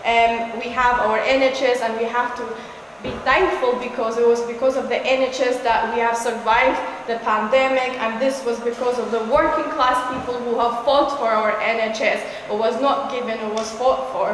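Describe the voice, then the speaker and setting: A woman delivers an earnest rally speech, pausing often on fillers as she searches for words. Her fairly high voice rings through a big, reverberant hall, with a faint hiss underneath. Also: fairly even intonation. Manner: earnest, halting with many fillers. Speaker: speaker at a climate rally. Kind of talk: political speech. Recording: echoey hall, roomy reverb.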